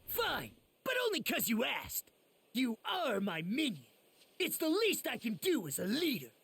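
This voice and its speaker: A wild teenage fighter boy barks boastfully in an anime game. The voice is very high, gravelly and rough, swinging widely in pitch. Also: wildly swooping pitch, measured pace, a bit bright, heavily acted. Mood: cocky.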